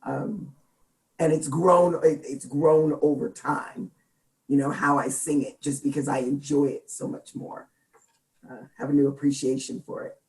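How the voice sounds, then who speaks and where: Middle-aged woman, deep gravelly voice, relaxed and jokey interview guest. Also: a little slow, low-quality recording. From Seattle, United States. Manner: chatty, laughs often, jokes about herself. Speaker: guest singer. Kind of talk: casual interview chat.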